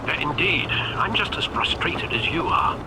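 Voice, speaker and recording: An actor playing a loyal older butler in a video game, formal, polite and solicitous. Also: male voice, a little high, very animated, a little slow, background noise. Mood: concerned. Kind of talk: dramatic video game acting.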